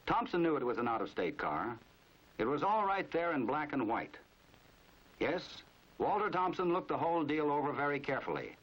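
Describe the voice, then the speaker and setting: A man's voice: a little high, big pitch swings, moderate pace, husky. An American man narrating a 1950s crime training film in a knowing, dramatic storytelling manner. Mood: wry, measured. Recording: dull, band-limited 1950s film soundtrack.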